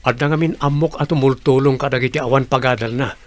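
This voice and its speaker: A man's voice: medium pitch, steady tone, quick pace, lightly acted. A man acting an earnest, guarded young suitor with an Ilocano accent in a radio comedy drama. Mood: earnest, insistent.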